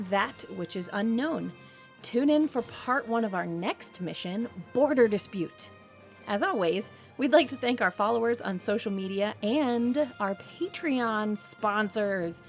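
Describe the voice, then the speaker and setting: Creaky-voiced woman announcer over music. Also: a little high. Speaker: announcer and cast member of an actual-play show. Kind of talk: scripted outro announcement.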